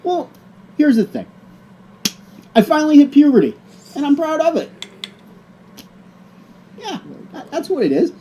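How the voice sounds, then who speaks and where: Joking middle-aged man, very dark voice. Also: extremely high pitch, big pitch swings, measured pace, pauses often, muffled recording, a little hiss or hum. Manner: joking, teasing. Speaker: co-host. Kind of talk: casual chat.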